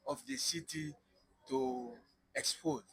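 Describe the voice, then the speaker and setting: A man interpreting between guest and host, relaying slowly with halting pauses and fillers. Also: a little high, sing-song, gravelly, slightly rough, no echo. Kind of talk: interpreting in conversation.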